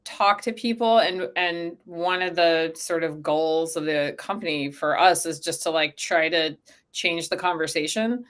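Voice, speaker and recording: A woman's voice: on the low side, measured pace. Casual, conversational woman explaining things. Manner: animated quoting of imagined speakers, frequent "like". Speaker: interview guest, company co-founder.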